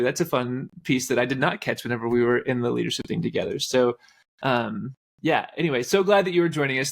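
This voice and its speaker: Friendly rambling man hosting a podcast. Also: mid-range voice. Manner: friendly, self-deprecating, rambling. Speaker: podcast co-host. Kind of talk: casual interview hosting.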